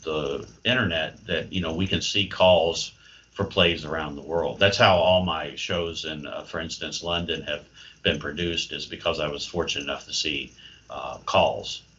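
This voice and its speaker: A man with a low but bright-edged voice speaks as an interview guest. He is thoughtful and wry, picking his words hesitantly. The audio is slightly dull and muffled. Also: steady tone, normal speed. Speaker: playwright and creative writing professor, interview guest. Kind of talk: conversational interview answers.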